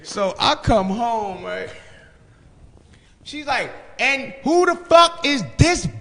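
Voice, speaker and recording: A man's voice: very high-pitched, sing-song, slow pace, vocal fry, in a large hall, a crowd in the background. A man in his forties telling stories casually, with profanity, slang and repeated phrases for emphasis. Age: 40s. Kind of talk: casual animated storytelling.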